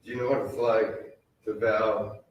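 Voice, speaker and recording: A man's voice: fairly low pitch, little pitch movement, measured pace. A man giving a victim impact statement as a bereaved husband in court, recorded in a courtroom. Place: indoor courtroom. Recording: echoey, distant, muffled, noisy courtroom audio.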